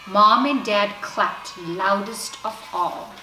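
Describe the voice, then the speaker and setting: A woman reading a children's storybook aloud in an expressive, animated, deliberate way. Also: medium pitch, very noisy. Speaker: teacher reading a children's picture book aloud. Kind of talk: expressive scripted storybook narration. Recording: roomy echo, distant from mic.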